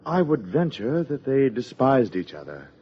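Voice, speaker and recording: An actor playing a pompous, sardonic party host in a 1950s radio drama, wordy and theatrical. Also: male voice, mid-range voice, sing-song, moderate pace, slight background noise, lightly acted. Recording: dull old radio recording.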